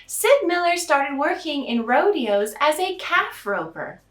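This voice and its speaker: A theatrical storytelling host with an American accent and a high feminine voice, delivering satire in sarcastic mock sweetness. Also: big pitch swings, measured pace, a bit bright. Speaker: storytelling host in a drag elf persona. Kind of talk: satirical storytime to camera, mock fairy tale. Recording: roomy, echoing sound.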